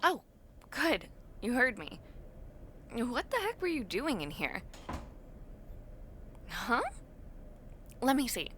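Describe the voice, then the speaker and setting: Young woman student acted by a woman, audio roleplay, friendly and teasing, casual, leisurely pace, lively intonation, faint background noise. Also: fairly high pitch, heavily acted. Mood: nosy, playful. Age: young adult. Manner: teasing, casual, expressive with drawn-out words and murmured reactions. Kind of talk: audio roleplay, casual one-sided conversation with the listener.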